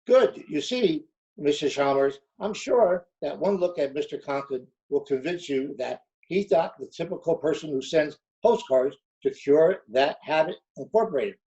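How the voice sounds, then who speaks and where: Casting: male actor as skeptical, composed official, soft-edged higher voice, vintage radio comedy, slightly dull audio. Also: animated, moderate pace. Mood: measured. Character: measured, skeptical male official over the principal. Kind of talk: old-time radio comedy acting. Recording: dull remote video-call audio.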